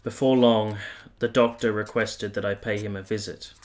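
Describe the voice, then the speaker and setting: An actor playing a reserved, courteous army captain in a period radio drama, speaking in formal, measured phrases. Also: male voice, lowish voice, fairly even intonation, a little mellow, lightly acted. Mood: narrating, grave. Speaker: narrator and lead actor.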